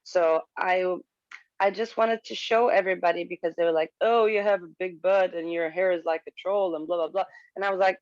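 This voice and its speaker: A woman's voice: on the low side, fairly even intonation, dry recording. Candid woman, dull dry audio. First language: Swedish. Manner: candid, halting with frequent restarts and laughs. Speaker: guest. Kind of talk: casual video-call conversation.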